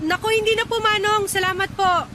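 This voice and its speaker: Frightened, very high-voiced young woman. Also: steady tone, fairly quick, background noise. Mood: polite, wary. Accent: Filipino. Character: frightened young woman, polite commuter pleading for life. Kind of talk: Filipino radio drama acting.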